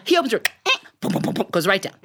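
A woman telling a folk tale live in an animated, theatrical way, drawing out key words and speaking straight to her listeners. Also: mid-range voice, racing. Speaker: storyteller. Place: recorded live in a studio.

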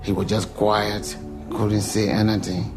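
A man's voice: on the low side, flat delivery, a little slow, creaky, over music. A middle-aged man, speaking earnestly as a father in a documentary interview. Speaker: father of an athlete.

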